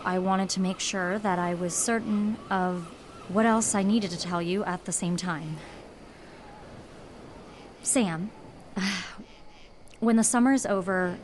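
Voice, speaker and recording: An actress playing an earnest, dry-witted young woman with a gentle, measured manner in a naturalistic audio drama. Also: mid-range voice, a little hiss or hum, close to an everyday voice. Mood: careful, serious. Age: young adult.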